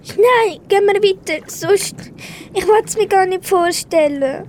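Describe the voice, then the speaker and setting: A woman's voice: very high-pitched, measured pace, darkish tone, a little hiss or hum. A teenage girl playing a small, frightened but loyal blue fish in an amateur school radio play.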